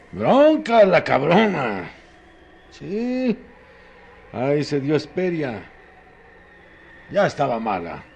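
An actor playing a rustic, gossipy Mexican countryman in a radio drama, telling things in a halting, repetitive, folksy way. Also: medium pitch, creaky, slight background noise, exaggerated voice. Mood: chatty, unhurried. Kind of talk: radio drama acting, colloquial storytelling.

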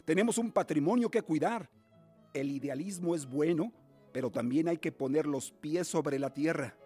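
An actor playing a stern, cautious middle-aged uncle in a radio drama, speaking in a measured, formal, paternal way. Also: male voice, a little high, warm tone, husky, soft background music. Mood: cautioning. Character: stern, cautious guardian uncle of colonial Mexico.

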